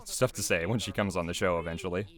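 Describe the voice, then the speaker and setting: A man's voice: low-pitched, fairly even intonation, quick pace, fairly bright tone. A man giving casual, unscripted behind-the-scenes commentary in a rambling, hesitant way.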